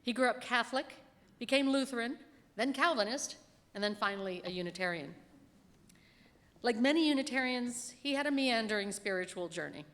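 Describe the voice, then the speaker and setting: A middle-aged woman reads a written sermon aloud from a pulpit, with measured, emphatic phrasing and dry asides. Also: fairly high pitch, animated, fairly bright tone. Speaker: lay reader delivering a written sermon. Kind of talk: sermon read aloud from a script. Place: church pulpit in a large reverberant room. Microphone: gooseneck lectern microphone. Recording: reverberant hall sound.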